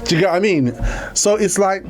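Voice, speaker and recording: A man's voice: high voice, brisk, music in the background. Rambling man reading viewer comments. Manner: rambling, repeats words, talks to viewers. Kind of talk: casual faith-based relationship talk.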